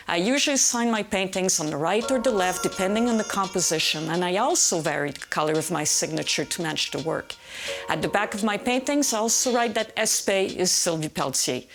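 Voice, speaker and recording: A middle-aged woman from French Canada, a watercolor teacher giving a calm, measured and encouraging scripted tutorial. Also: medium pitch.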